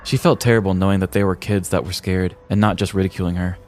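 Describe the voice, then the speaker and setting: An American man reading a scripted comedic story in a deliberate, theatrical storyteller's manner. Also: low voice, steady tone, darkish tone. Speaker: podcast host telling a story.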